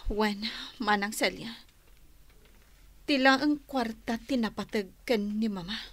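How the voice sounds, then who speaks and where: A woman's voice: mid-range voice, slow pace, a bit bright, dry recording. An actress playing a troubled young lady of a wealthy household in a radio drama. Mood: troubled, surprised. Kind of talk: Ilocano radio drama acting.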